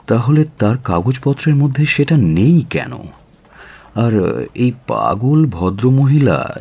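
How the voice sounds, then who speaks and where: A man playing a brooding, intense detective in a radio drama, musing aloud with theatrical pauses. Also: mid-range voice, darkish tone, husky, heavily acted.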